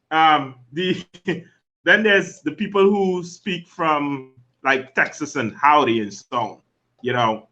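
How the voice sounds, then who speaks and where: A man's voice: mid-range voice, pushed and bright. A man telling jokes as a guest on a live talk show, laughing often and saying "you know" a lot. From Trinidad. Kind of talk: casual chat, comic storytelling. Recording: dull lo-fi livestream audio.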